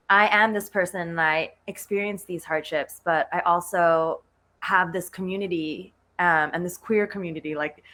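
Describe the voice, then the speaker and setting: A woman talking casually as a panelist, loosely and haltingly, with phrases that trail on. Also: fairly low pitch, fairly bright tone. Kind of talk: casual unscripted panel discussion.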